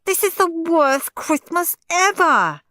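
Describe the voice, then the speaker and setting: A woman's voice: high voice, sing-song, slow, a bit bright, dry recording, clean studio sound, pitched above the performer's own voice, exaggerated voice. A woman voicing a spoiled, stuck-up boy who screams and howls in a storybook reading. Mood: wailing tantrum. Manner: screaming, howling. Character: spoiled, whiny snobbish boy.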